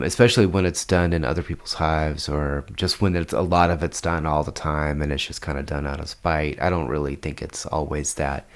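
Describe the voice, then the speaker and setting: A congested man, casually explaining a game update as a strategy guide host, rambling with many fillers. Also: rumbling low.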